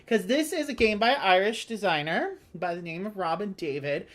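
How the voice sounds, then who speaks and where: A woman's voice: medium pitch, animated, moderate pace. A woman hosting a board game live stream, chatting casually to viewers.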